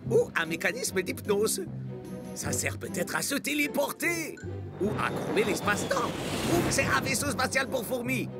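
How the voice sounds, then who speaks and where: Young cartoon footballer, high and swooping, excitable, baffled, prone to dramatic musing; music underneath. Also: male voice, normal speed. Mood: excited speculation. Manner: exclaiming, dramatic musing to himself. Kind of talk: French animated series dub. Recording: music behind the voice.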